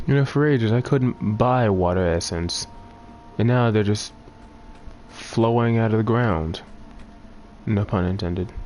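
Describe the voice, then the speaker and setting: A man's voice: fairly low pitch, measured pace, darkish tone, a little hiss or hum. A man giving casual, off-the-cuff commentary as he plays a video game, speaking close to the microphone.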